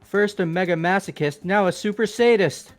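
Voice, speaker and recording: Man acting high-voiced, blustering goofball game character. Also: moderate pace, soft background music, lightly acted. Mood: bemused, pushy. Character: blunt, cowardly, shameless goofy young man. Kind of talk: amateur voice acting of a visual novel game.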